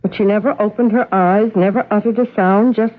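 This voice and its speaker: A woman's voice: medium pitch, steady tone, brisk, lightly acted. A woman playing a fretful, protective farm mother in a radio drama, fussing as she speaks. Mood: flustered, defensive. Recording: tinny vintage radio broadcast.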